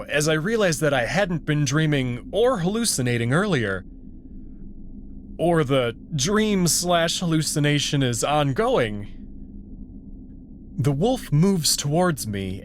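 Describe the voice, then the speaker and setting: A man narrating a story in the first person in a dramatic style, with faint noise in the recording. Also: on the high side.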